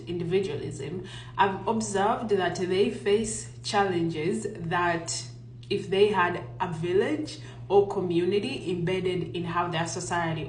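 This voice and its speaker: A young woman from Uganda talking casually to camera, explaining and reflecting with frequent pauses. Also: lowish voice, roomy sound, a little hiss or hum, rough recording. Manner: reflective, explanatory, pausing often. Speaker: content creator. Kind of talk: casual talk to camera on culture. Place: outdoors.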